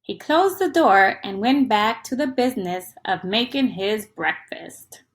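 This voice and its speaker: A woman's voice: medium pitch, lively intonation, unhurried. A woman reading a picture book aloud to young children in an interactive, teacherly way, often putting questions to the listener.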